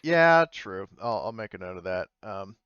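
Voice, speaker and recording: A man's voice: on the low side, lively intonation, fairly bright tone, clean tone, dry recording, muffled recording. A man speaking casually as game master of an online tabletop game, hesitant and often correcting himself as he narrates. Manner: hesitant, halting, self-correcting. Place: online voice call.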